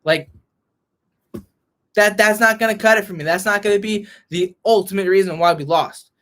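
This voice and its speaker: A young man ranting as a frustrated sports fan vlogger, hoarse and losing his voice, reading and answering chat. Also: high voice, moderate pace, fairly bright tone. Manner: frustrated, heated rant.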